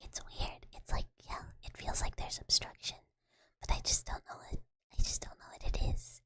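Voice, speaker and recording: A woman ASMR streamer softly whispering and rambling while she chats with her live audience about her microphone setup. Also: normal speed. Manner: soft whispering, rambling with many fillers. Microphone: USB desk mic.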